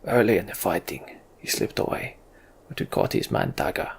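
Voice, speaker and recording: Gruff, terse giant fantasy warrior played by a man, hushed and growling, deep and full of fry. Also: animated, normal speed, exaggerated voice. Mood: grim, terse.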